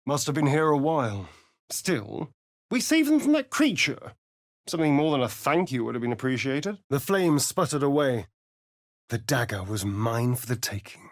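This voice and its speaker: A man's voice: medium pitch, very animated, normal speed, no room sound, clean studio sound. A man voicing a sly, haughty vampire spawn in a video game, with an arch, theatrical, drawling and sardonic delivery. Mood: hushed narration. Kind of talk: video game character voice acting.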